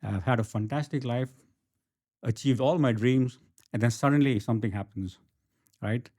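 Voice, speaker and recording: A man's voice: mid-range voice, moderate pace, mellow. A middle-aged man from India with an Indian accent, telling his story emphatically in a conversational interview and often checking in with the listener. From Bangalore, India. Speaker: interview guest, entrepreneur and author.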